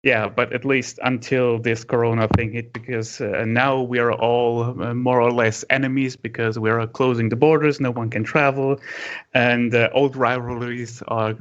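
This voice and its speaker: A man's voice: mid-range voice, level tone, normal speed, lo-fi sound. A man from Germany, co-hosting a podcast, with dry, wry remarks. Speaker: podcast co-host. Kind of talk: casual remote chat.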